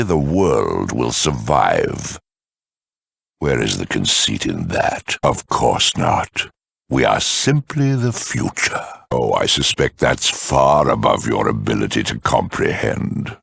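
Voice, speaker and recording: A huge mutant lieutenant, a video game villain with an extremely low, husky growl. He is eloquent, smug and zealous, swinging theatrically in pitch as he talks down to his listener. The sound is close and dry, with an air of polite menace. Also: fairly bright tone, no echo, full character voice. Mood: smug, patronizing. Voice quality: very deep, gravelly, rasping. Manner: condescending, eloquent, slow and deliberate.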